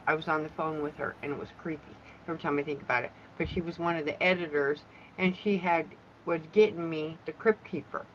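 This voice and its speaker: A woman chatting casually, talkative and giggly, her voice hoarse and going in and out. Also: low-pitched, brisk. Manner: loud-natured, giggly, talkative. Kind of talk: casual chat. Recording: dull lo-fi sound with faint background noise.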